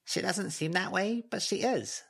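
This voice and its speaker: A man's voice: high-pitched, measured pace, gravelly, a bit bright, slightly rough, pitched above the performer's own voice, heavily acted. A man voicing a small young female animal companion who talks in a blunt, muttering, contrary way in a story reading. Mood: blunt, grumbling.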